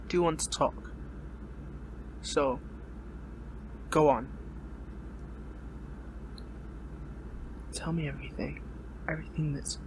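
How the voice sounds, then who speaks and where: A wounded young hero held captive , acted by a man in an audio drama. His voice sits a little high for a male, strained and weary, with sardonic defiance and halting, stammered phrasing. Clear noise runs behind the recording. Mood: subdued, puzzled. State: pained, weary. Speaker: voice actor in audio roleplay. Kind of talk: dramatic audio roleplay, one-sided dialogue.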